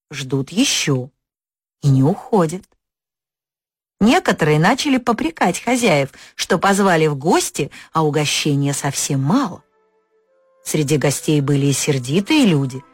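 A woman narrating a folk fairy tale for an audio story, expressive and lifting her pitch on key words. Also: husky, no room sound, clean studio sound.

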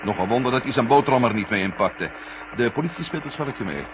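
Guy acting calm no-nonsense agent man, dry delivery, old sci-fi radio drama, noisy tape. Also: mid-range voice, fast, lo-fi sound, close to an everyday voice. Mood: brisk, wry. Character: level-headed, dryly frank male space agent. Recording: noisy vintage radio recording.

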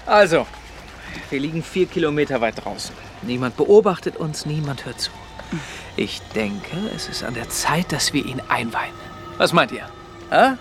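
An actor in a German radio drama playing the cocky, brutal ringleader of a clique, swaggering and confrontational. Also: male voice, fairly high pitch, lively intonation, moderate pace, natural voice. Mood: smug, conspiratorial. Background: music behind the voice.

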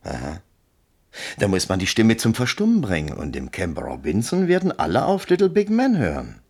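A calculating colonel from a German radio drama. The voice sits low and swings very widely as he commands, coaxes and insinuates. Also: wildly swooping pitch, normal speed, a little mellow, breathy edge, exaggerated voice. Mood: scheming, coaxing. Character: scheming army colonel, commanding and coaxing.

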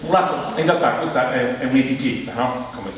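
A man's voice: mid-range voice, brisk. A man from Rome with a Roman accent delivers an ironic, conversational comic monologue to a theatre audience, teasing them as he goes. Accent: Roman Italian. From Rome, Italy. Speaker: stand-up monologist, stage performer. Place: theatre stage in a large hall. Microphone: distant microphone. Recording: echoey hall from far off, faint noise.

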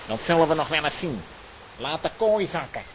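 A ruthless pirate captain in a children's audio drama. He barks orders and threats in a gruff, fairly high male voice, over clear background noise. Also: very animated, moderate pace. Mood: threatening. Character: ruthless bullying pirate captain, adult man. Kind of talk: children's radio play acting.

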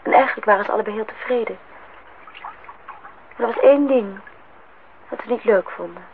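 An actress playing a hesitant woman who plays along as wife and mother in a Dutch radio play, tentative yet expressive. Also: on the high side, a little fast, natural voice. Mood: tender storytelling. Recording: dull old radio recording, faint noise.

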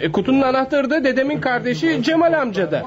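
An actor playing an excitable, scheming young grandson in a radio play, muffled, with a noisy crowd behind him. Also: male voice, extremely high pitch, fast talker. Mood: excited, urgent. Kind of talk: radio theatre acting.

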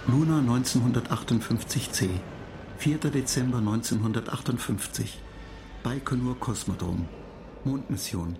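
A cool, condescending computer mind, played by a man in a radio drama. The voice sits at a medium pitch and recites in a measured, emotionless manner, with clearly audible music behind it. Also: lightly acted. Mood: detached. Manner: detached, measured, relentless recital. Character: cool, superior computer mind lecturing human space travellers. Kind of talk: German radio play, recited log of facts.

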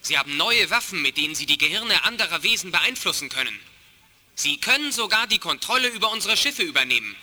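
A man's voice: high voice, big pitch swings, fast, sharp tone, full character voice. An actor, a man, playing a pompous alien admiral in a radio play, declaiming his words. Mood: agitated report. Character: alien reptile fleet admiral, pompous, turning mutinous.

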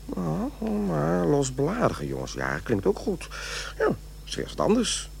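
Gravelly, pompous amateur diarist. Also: male voice, on the high side, wildly swooping pitch, measured pace, a little mellow, a little hiss or hum, exaggerated voice. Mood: solemn, self-pleased. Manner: pompous dictation, muttering self-corrections. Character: fussy, self-important henpecked man writing his journal. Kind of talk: radio play monologue.

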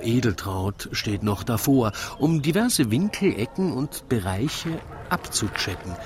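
A man narrating a comic radio play in rhyme, with wry, deliberate storytelling. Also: lowish voice.

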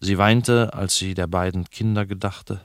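Low-voiced man reading literary prose. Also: steady tone, unhurried, no echo, studio quality. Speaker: narrator reading a novel. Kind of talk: literary reading, scripted narration.

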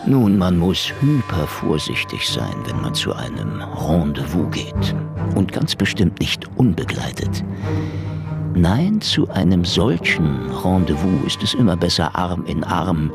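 Noir radio drama detective: very low voice, wide-ranging inflection, laconic and sardonic, music underneath. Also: male voice, gravelly, heavily acted. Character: hard-boiled, wry male private detective in Soviet Moscow. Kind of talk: noir radio play narration and dialogue.

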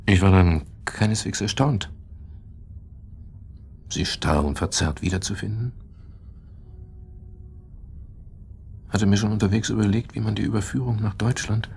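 An actor playing a bitter, agitated husband in a German radio play, confessing in a halting, brooding way and repeating his words. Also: lowish voice, creaky, a little mellow, slight background noise. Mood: brooding confession.

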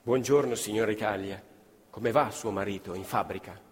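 An actor playing a kindly village shopkeeper in a stage play, speaking softly and patiently. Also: male voice, moderate pace, close to an everyday voice. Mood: gentle. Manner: soft, low, patient. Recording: live theatre with long reverb.

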